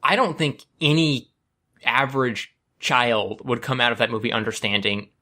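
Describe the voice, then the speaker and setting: A man's voice: medium pitch, moderate pace, pushed and bright. A man hosting a casual podcast discussion, talking in an enthusiastic way. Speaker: podcast host.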